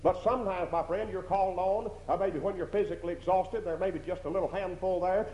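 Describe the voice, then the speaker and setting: A man's voice: sits high, fairly even intonation, quick pace, mellow, slight background noise. A man with a strong voice preaching a sermon in a church, emphatic, repeating phrases and asking rhetorical questions. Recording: dull lo-fi recording in an echoey hall.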